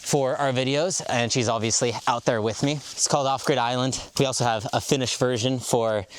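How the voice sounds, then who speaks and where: A man's voice: mid-range voice, steady tone, a little fast. A man with an American accent introducing himself to the camera in a casual, chatty way, easygoing with filler words. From grew up in the United States. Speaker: guest vlogger, beginner.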